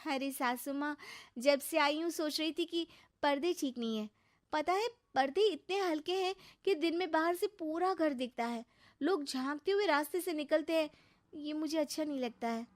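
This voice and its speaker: A woman's voice: sits high, normal speed, no room sound, pitched above the performer's own voice, lightly acted. A woman voicing a well-meaning young daughter-in-law in a cartoon, polite and frank as she explains herself. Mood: explaining.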